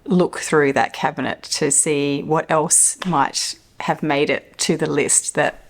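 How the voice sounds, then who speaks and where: A woman in her fifties, slightly croaky from a cold, chatting enthusiastically to camera about perfumes at home and laughing often. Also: deep voice, fairly even intonation, slow. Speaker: perfume reviewer. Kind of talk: casual to-camera review. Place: home living room.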